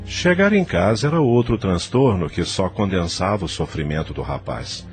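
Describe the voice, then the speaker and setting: A man's voice: low voice, animated, normal speed. A man with a Brazilian accent reading scripted literary narration for an audio novel. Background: music.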